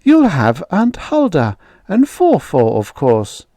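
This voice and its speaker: A man voicing a gentle, worried middle-aged mother in a told story, speaking softly. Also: on the high side, huge pitch swings, slow, no room sound, clean studio sound, pitched above the performer's own voice, heavily acted. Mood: tender.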